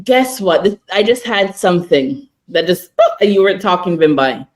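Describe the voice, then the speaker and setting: A woman's voice: lowish voice, animated, normal speed. A woman co-hosting a faith podcast, speaking earnestly and encouragingly to listeners. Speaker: podcast co-host. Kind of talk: casual faith podcast chat.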